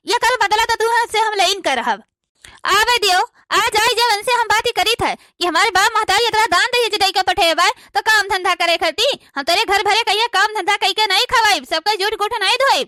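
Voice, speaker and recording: A woman's voice: extremely high pitch, pushed and bright, dry recording, studio quality. A woman playing a quarrelsome, self-pitying village housewife in a cartoon comedy, complaining and scolding with an Awadhi accent.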